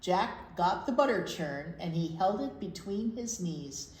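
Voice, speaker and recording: A woman's voice: lowish voice, animated, a little slow, a little mellow. A woman reading a picture book aloud to young children, explaining simply and asking them questions. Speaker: children's library storytime reader. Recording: roomy echo with clear background noise.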